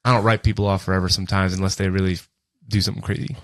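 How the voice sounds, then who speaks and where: A man's voice: deep voice, flat delivery, racing. A man co-hosting a podcast in casual, slangy banter, joking around as he talks. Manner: jokey, slangy, breaks into snatches of song. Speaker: podcast co-host who is also in a band.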